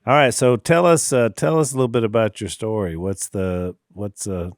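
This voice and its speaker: A man's voice: on the low side, moderate pace, no echo, studio quality. A man co-hosting a podcast, asking friendly questions in casual talk. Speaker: podcast co-host. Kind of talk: casual chat.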